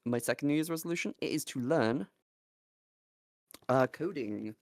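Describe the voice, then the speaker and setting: A man co-hosting a podcast in casual chat, animated and sidetracked, swearing freely. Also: mid-range voice, normal speed, no echo. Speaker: podcast co-host.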